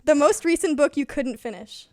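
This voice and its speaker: A woman's voice: high-pitched, expressive, normal speed, dry recording, studio quality. A woman co-hosting an unscripted, casual chat about books. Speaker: book talk co-host, English teacher.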